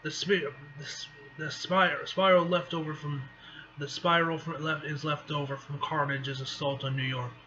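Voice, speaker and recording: A grimly determined male antihero read aloud by a man from a comic lays out his thoughts. He is low-key and keeps stumbling back over phrases. The audio is lo-fi with faint noise. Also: level tone, moderate pace, sharp tone. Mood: grim resolve. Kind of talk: amateur comic book read-aloud acting. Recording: dull lo-fi mic with faint noise.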